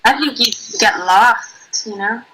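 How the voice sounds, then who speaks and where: A woman's voice: fairly high pitch, slow, pushed and bright, poor audio. A woman chatting casually and reflectively, picking her words in a tentative, thoughtful way.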